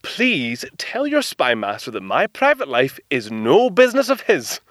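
An actor with a British accent playing a proud, genteel 1930s inventor in a period audio drama, speaking with clipped, old-fashioned courtesy. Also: male voice, on the high side, wildly swooping pitch, unhurried, a bit bright, no room sound, exaggerated voice. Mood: indignant.

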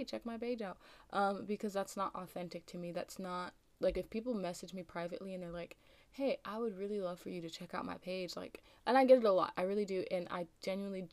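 A young American woman hosting a casual solo podcast, with a slightly raspy just-woke-up voice and a rambling, chatty way of talking full of ums and likes. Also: lowish voice, darkish tone, no room sound. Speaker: podcast host.

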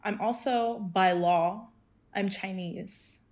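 A young woman from Hong Kong talking in a casual group chat, outspoken and animated, laughing often. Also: medium pitch, slow.

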